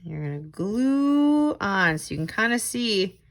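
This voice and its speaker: A woman's voice: medium pitch, big pitch swings, a little slow. A woman tutor giving children simple, encouraging step-by-step instructions for a craft. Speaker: tutor leading a children's read-aloud craft.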